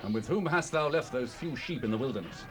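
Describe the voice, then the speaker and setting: An actor playing an angry eldest brother, stern and accusing, in a dramatized Bible recording. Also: male voice, medium pitch, expressive, fairly quick, close to an everyday voice. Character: angry, scolding eldest brother, adult male soldier. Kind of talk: dramatized Bible audio acting. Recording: faintly noisy cassette rip.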